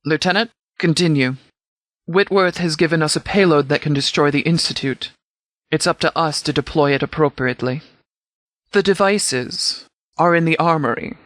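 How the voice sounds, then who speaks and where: A man voicing a stern, commanding sheriff who leads a wasteland militia in a video game, speaking in a formal, measured, authoritative way. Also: a little high, fairly bright tone, no room sound, lightly acted. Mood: businesslike. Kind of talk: scripted video game dialogue.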